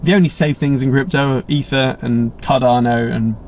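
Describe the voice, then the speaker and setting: A man's voice: medium pitch, fairly even intonation, moderate pace, darkish tone, slight background noise. An English man hosting a casual live stream, chatty and rambling, with blunt asides and many restarts. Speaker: livestream host. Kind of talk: casual live Q&A, reading viewer chat. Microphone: webcam setup. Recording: slightly muffled stream audio.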